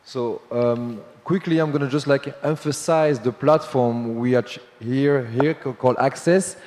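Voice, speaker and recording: A man moderating a conference panel, talking in a hurried, loose way with many fillers. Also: medium pitch, a little mellow, slight background noise. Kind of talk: panel discussion. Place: large conference hall. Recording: long hall reverb.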